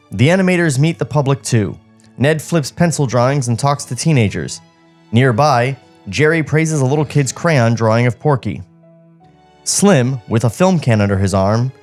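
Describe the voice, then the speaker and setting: A man's voice: mid-range voice, a little mellow. Male comedy podcast host, sardonic and conversational, for script read-alouds over light background music. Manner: mocking, sardonic. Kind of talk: casual comic banter and script table read. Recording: music playing behind the voice.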